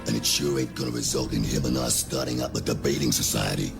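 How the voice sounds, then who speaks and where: An actor playing a huge, gruff, sarcastic rock-bodied superhero in a radio drama, with a very deep gravelly voice. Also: male voice, quick pace, loud music behind the voice. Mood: grim. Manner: gruff, streetwise wisecracks.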